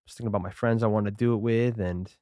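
Laid-back man, smooth low voice. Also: steady tone, fast, mellow, dry recording. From Connecticut, USA. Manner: laid-back, rambling, lots of fillers. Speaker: podcast co-host. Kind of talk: casual chat.

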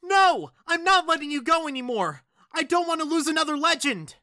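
An actor playing an excitable, clingy male sidekick in an audio drama, overwrought and whiny. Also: extremely high pitch, very slow, full character voice. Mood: frantic affection. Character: excitable, clingy, squealing male sidekick devoted to his friend.

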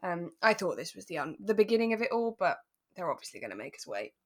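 A woman's voice: lowish voice, sing-song, fast. Woman podcast co-host chatting enthusiastically. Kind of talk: casual chat reviewing a radio drama.

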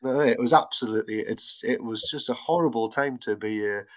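A man's voice: medium pitch, brisk. A podcast host in his fifties with an ordinary mid-range voice. He speaks casually and halts often, filling the gaps with small fillers as he frames his questions. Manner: friendly, hesitant questioning with fillers. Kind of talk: casual interview chat.

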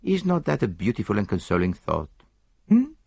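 A precise, theatrical older detective, put on by a male audiobook reader. His tone stays mildly reproving and full of rhetorical questions, and the voice is gravelly, with a wildly lively pitch. The recording is dry and close. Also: moderate pace, no echo, full character voice. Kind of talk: audiobook character voice.

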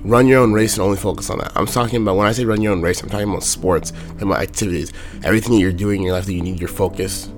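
Hoarse young man, deep and raspy. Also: rapid-fire, faint music behind. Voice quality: raspy, monotone. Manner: plain-spoken, repeats his point over and over. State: hoarse from a sore throat. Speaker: host of a motivational talk. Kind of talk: casual motivational monologue.

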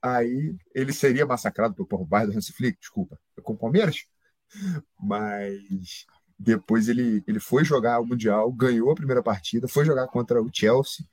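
A man co-hosting a casual football podcast live, excitable and swearing a lot as he talks. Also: medium pitch, moderate pace, breathy edge, no echo. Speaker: podcast co-host. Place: home studio, live stream.

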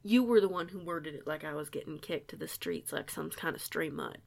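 Woman acting a creaky-voiced young werewolf misfit. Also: low-pitched, a little fast. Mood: irritated. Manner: folksy, hesitant, stammering, deferential. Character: eighteen-year-old delinquent female werewolf, guarded but polite. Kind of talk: audio roleplay acting.

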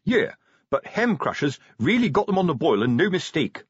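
A man's voice: on the high side, big pitch swings, moderate pace, husky, dry recording, dull audio, pitched above the performer's own voice. A man voicing a pompous, fatherly older police sergeant in an audiobook, hesitant and prudish in his colloquial talk. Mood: grave, knowing. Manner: hesitant, self-important, colloquial working-class phrasing. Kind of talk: audiobook character dialogue.

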